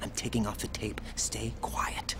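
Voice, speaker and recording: A man's voice: lowish voice, flat delivery, a little slow, in a whisper, lightly acted. An actor playing a young male special-forces operative in a video game cutscene, earnest and full of pointed questions. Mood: hushed, urgent.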